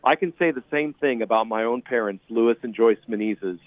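A man's voice: mid-range voice, normal speed, no echo. A middle-aged American man from California, a priest speaking as an interview guest in an earnest, emphatic conversational way over a narrow phone line. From California, United States.

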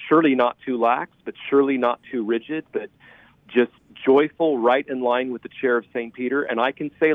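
Middle-aged American male priest, radio interview guest, earnest and emphatic, mid-pitched, thin telephone sound. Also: no echo. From California, United States. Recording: narrow phone-line sound.

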